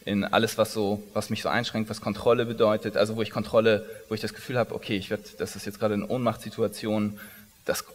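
A man's voice: lowish voice, little pitch movement, quick pace. Hesitant man in a big reverberant hall. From Iran. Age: 30s. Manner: hesitant, many restarts and fillers. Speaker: company founder, interviewee. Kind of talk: onstage conversational interview. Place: large conference hall stage.

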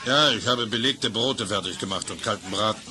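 An actor playing an obliging, matter-of-fact village innkeeper in a children's radio play. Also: male voice, lowish voice, fairly quick, natural voice. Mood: businesslike. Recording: old audio drama with background noise.

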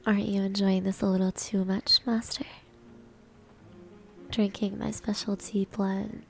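A woman playing a proud, easily flustered maid with a hidden soft side in an ASMR roleplay, breathy and often whispering. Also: medium pitch, level tone, a little slow, soft background music. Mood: coy teasing. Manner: coy, grudging, flustered.

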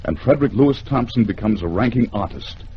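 A man narrating a 1950s radio thriller in a theatrical, dramatic way, with deliberate pauses. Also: medium pitch, expressive. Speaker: radio storyteller. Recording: dull, faintly noisy old radio recording.

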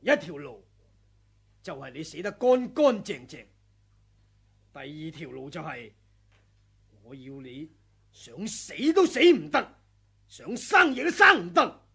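An actor playing a ruthless male senior swordsman in a 1980s radio drama, taunting and menacing, breaking into shouts. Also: a little high, sing-song, slow pace, long pauses, husky, full character voice. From Hong Kong.

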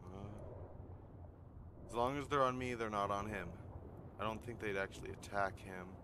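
A man giving casual gameplay commentary while losing his voice, excitable and swearing when something startles him. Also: lowish voice, normal speed, noisy background. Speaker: gaming YouTuber.

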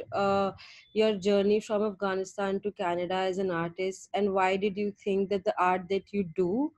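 A young woman interviewer asking questions gently over a video call. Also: medium pitch, no room sound.

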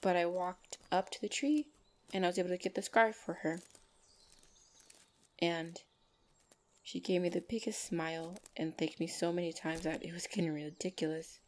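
Woman acting a low-voiced gentle orc girlfriend. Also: fairly even intonation, close to an everyday voice. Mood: wistful, tender. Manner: soft, hesitant, tender, with small pauses. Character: gentle young female orc, shy devoted lover. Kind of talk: ASMR girlfriend roleplay.

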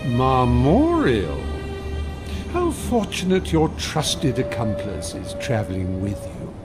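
Sly smug male trickster creature, slow, very swooping intonation, teasing, game dialogue. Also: medium pitch, wildly swooping pitch, over music. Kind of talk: video game character acting.

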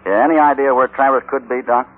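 An actor playing a commanding, calm, shrewd American cowboy hero in an old radio Western, speaking firmly. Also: male voice, mid-range voice, fast, natural voice. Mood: calm, probing. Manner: firm, decisive. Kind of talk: 1950s radio Western acting. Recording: tinny, noisy old radio recording.

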